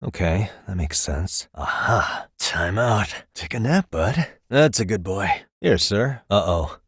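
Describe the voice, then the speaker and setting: A man's voice: sits low, expressive, takes it slowly, a bit bright, slightly rough, dry recording, clean studio sound, exaggerated voice. An American man playing a wry, streetwise private detective in a video game, speaking casually and sardonically in short lines and asides. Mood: guarded, muttering. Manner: casual, sardonic muttering with frequent sighs.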